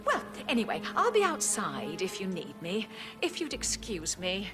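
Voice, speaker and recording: A woman's voice: medium pitch, wildly swooping pitch, over music. A flustered, nervous young woman. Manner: flustered and hurried. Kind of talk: video game dialogue.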